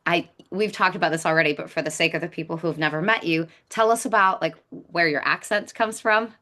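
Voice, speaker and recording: The woman host of a chatty interview podcast, crisp-edged and bright, informally thinking aloud as she frames her questions. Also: fairly low pitch, brisk. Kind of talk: casual conversational interview.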